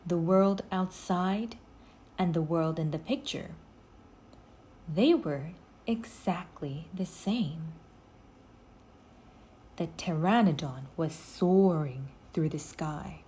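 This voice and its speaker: A woman reading a children's story aloud in an expressive, scripted storytelling style. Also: on the low side, slow pace, long pauses.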